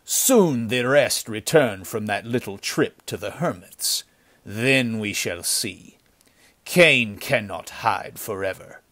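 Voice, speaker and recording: A man's voice: on the low side, expressive, slow pace, fairly bright tone, exaggerated voice. A man voicing a ruthless, commanding bandit chief who snarls impatiently in an adventure story. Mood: brooding. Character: ruthless, cursing bandit chief nicknamed the wolf. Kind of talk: dramatic storybook villain acting.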